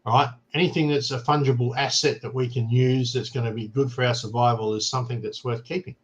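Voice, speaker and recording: A man's voice: medium pitch, flat delivery, fairly quick, lo-fi sound. An Australian man hosting a casual talk show, explaining things plainly and often checking in with "right?". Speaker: show host. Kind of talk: casual chat.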